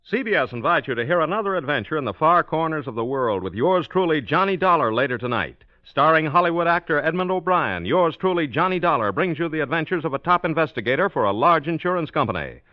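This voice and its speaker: A man's voice: fairly high pitch, expressive, quick pace, hardly any pauses, no echo. A man reading a punchy promotional announcement as a 1940s radio network announcer. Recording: dull old radio recording.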